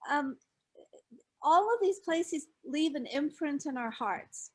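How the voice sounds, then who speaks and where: An older woman from Scotland, a guest reflecting on her life abroad in a measured, storytelling way. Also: high-pitched, animated, a little mellow. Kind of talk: casual interview chat.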